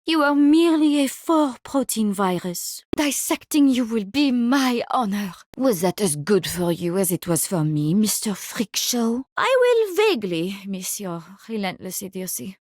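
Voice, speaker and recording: A woman's voice: fairly high pitch, sing-song, unhurried, few pauses, no room sound, studio quality. A woman playing an eccentric, haughty mycologist with a French accent, theatrical and gleefully morbid, in video game voice lines. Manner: theatrical, savoring, condescending.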